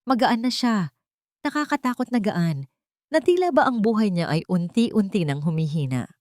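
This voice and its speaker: A woman's voice: medium pitch, very animated, normal speed, a little mellow, dry recording, clean studio sound. A woman reading a scripted, dramatic first-person audio story as its narrator.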